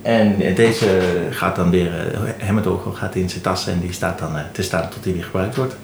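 A man with a Netherlands Dutch accent in casual interview chat, halting and correcting himself, in an echoey room. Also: low voice, steady tone, vocal fry. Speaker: interviewed band keyboardist. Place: indoors in a studio room. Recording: echoey room with faint noise.

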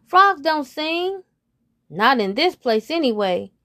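A woman's voice: sits high, very animated, takes it slowly, sharp tone, no echo, clean studio sound, pitched above the performer's own voice, full character voice. A woman voicing a group of rowdy frog friends who jeer bluntly. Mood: mocking. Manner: jeering, blunt.

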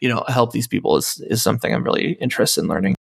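Slow, gravelly man, calm and easy. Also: lowish voice. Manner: slow, calm, with many you-knows. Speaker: podcast guest. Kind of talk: casual conversation.

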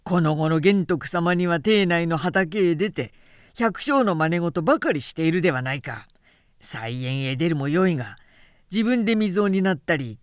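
A woman voicing a blunt, hot-tempered male warrior who grumbles in rough speech, in an audiobook novel. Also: deep voice, no room sound, studio quality. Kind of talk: literary audiobook dialogue.

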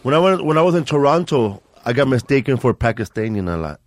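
A man's voice: medium pitch, big pitch swings, quick pace, dry recording. A man, a comedian guest on a podcast, telling laid-back anecdotes and slipping into voices of people he met. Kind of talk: casual storytelling chat.

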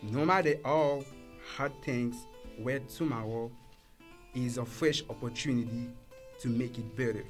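A young man from Haiti with a Haitian accent, a native Creole speaker, reading out his own story as an English learner in a measured, careful way. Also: medium pitch. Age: young adult. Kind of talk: reading a prepared personal story.

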